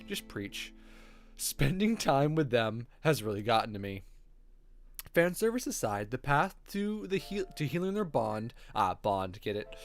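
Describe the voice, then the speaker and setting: A man reading visual novel text aloud as a streamer, dropping in wry, joking, sweary casual asides. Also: medium pitch. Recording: background music under voice. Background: music with guitar.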